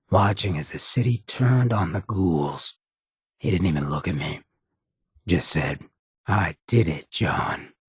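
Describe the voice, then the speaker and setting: A male actor voicing a roguish, streetwise ghoul companion in a video game, blunt but loyal, with a gravelly rasp and colloquial speech. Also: fairly low pitch, no echo, clean studio sound, exaggerated voice. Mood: bitter, regretful. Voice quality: raspy, gravelly. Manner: streetwise, colloquial, swearing drawl. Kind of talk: video game dialogue acting.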